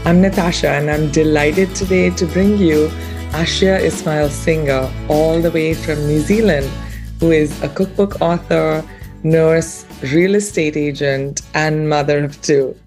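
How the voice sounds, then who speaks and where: A woman hosting a podcast interview, friendly and warm in her questions, with many ums. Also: deep voice, fairly even intonation, a little slow, over music. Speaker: podcast host.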